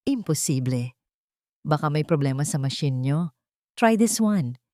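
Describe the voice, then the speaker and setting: A woman voicing an arrogant, hot-tempered wealthy husband in an audio romance story, with a Filipino accent and a forceful, demanding manner. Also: low voice, animated, unhurried, dry recording, studio quality. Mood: indignant.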